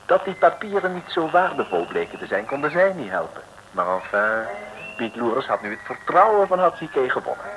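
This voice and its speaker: A man's voice: medium pitch, big pitch swings, fairly quick, fairly bright tone, rough recording. A male narrator of an old radio serial, telling the story in a chatty, wry way straight to the listener. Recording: old radio recording with faint noise.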